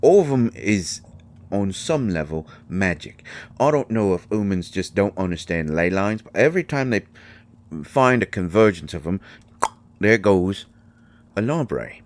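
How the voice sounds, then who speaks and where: A man playing a shy, kindly mushroom fairy in a roleplay audio drama, speaking haltingly with folksy, ungrammatical phrasing. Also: deep voice, lively intonation. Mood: earnest, musing. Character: shy, kindly male mushroom fairy living in magic library. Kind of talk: scripted roleplay audio drama addressed to the listener.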